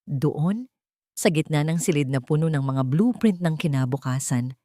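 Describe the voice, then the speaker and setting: A woman reading a scripted dramatic story in a measured, literary storytelling manner. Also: low-pitched, darkish tone, no room sound, studio quality. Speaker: narrator.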